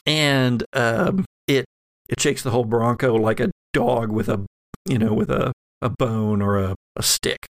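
A man working as game master, improvising halting but vivid narration for a tabletop horror game on a podcast. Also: mid-range voice, no echo.

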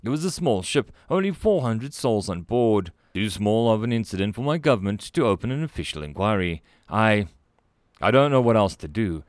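A frightened, furry alien father, voiced by a man narrating the tale who speaks in stiff, halting phrases. The voice sits fairly low and dry, and it rises and falls a great deal as worry breaks through. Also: lively intonation, no echo, lightly acted. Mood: distraught. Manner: halting, formal and anxious. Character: nervous furry alien male, grieving father.

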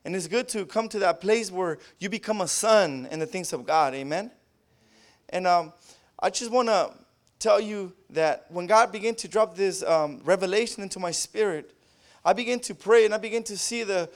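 A man with a Spanish accent preaching a sermon, tacking an amen onto nearly every phrase and rising into loud fervent bursts. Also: high voice. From Southern California. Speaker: pastor.

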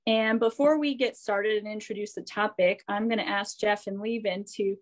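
A woman's voice: medium pitch, fairly even intonation, normal speed. Upbeat American woman hosting a chat show. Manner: upbeat, chatty, laughs easily. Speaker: host and therapist. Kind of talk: enthusiastic interview introduction.

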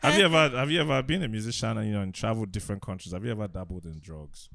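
A man's voice: fairly low pitch, very fast, gravelly, pushed and bright. A man hosting a podcast, hyped and playful, teasing his co-hosts and introducing a guest. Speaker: podcast host. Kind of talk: casual podcast banter.

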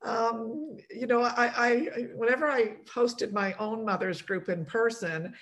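A woman's voice: on the high side, measured pace, a bit bright, rough recording. A middle-aged woman from Poland hosting a casual podcast chat over a video call, chatty, laughing often and restarting her sentences. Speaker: podcast host.

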